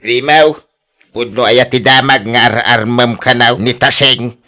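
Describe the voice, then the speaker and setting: An actor with a Filipino accent playing a teasing, persuasive village buddy in a radio drama, needling with questions. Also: male voice, mid-range voice, fairly even intonation, a little slow, pushed and bright. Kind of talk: Ilocano radio drama acting.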